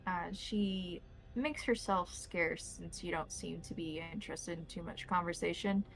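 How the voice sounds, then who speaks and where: A woman narrating a tabletop roleplaying game as game master, describing scenes in deliberate detail. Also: fairly low pitch, vocal fry, slight background noise, low-quality recording.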